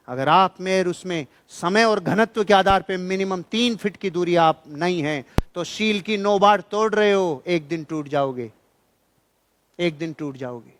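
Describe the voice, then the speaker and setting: Guy doing motivational preaching, slightly high voice, emphatic and scolding, repeats lines, dry close sound. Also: no room sound. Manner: emphatic, admonishing, repeats phrases for effect. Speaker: motivational speaker. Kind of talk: motivational speech, preaching to an audience.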